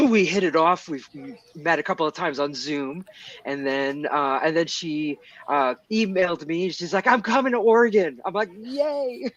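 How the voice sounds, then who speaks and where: A man's voice: a little high, lively intonation, measured pace, fairly bright tone, no room sound, dull audio. A man from New York City, chatting on a panel and telling stories with eager enthusiasm. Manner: enthusiastic, effusive storyteller. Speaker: podcast guest. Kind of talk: casual panel chat.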